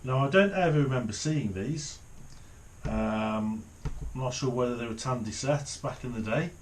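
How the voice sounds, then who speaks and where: A middle-aged man giving casual unboxing commentary, thinking aloud in an unhurried way as he handles things at a desk in a small room. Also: mid-range voice, slight background noise. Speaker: hobbyist reviewer. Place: small home office, indoors.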